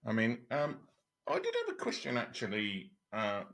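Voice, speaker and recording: Gravelly low-voiced male host. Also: huge pitch swings, normal speed, fairly bright tone. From Europe. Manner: rambling questions full of restarts. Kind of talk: casual interview chat.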